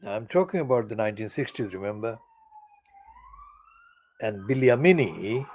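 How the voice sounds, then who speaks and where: Older male commentator, slow and emphatic, lively swooping pitch, profane and furious. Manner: slow, deliberate phrasing with stressed words. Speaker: channel editor giving commentary. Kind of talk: personal political monologue.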